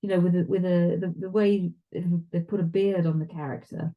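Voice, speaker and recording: A woman's voice: on the low side, fairly even intonation, moderate pace, lo-fi sound. A woman co-hosting a review, chatting casually in a reflective, tentative way. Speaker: podcast co-host reviewing films. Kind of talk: casual chat commentary.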